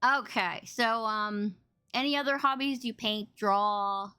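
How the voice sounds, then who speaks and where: A woman with a loud, boomy voice hosting a casual livestream podcast, effusive, playful and rambling as she chats with her guest. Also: unhurried, clear, resonant tone. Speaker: podcast host and interviewer.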